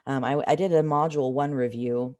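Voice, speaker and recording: A woman with a slightly raspy voice from a cold, teaching an informal live online math lesson in a conversational way, stressing key points. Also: rumbling low, fairly even intonation, fairly quick, darkish tone. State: has a cold.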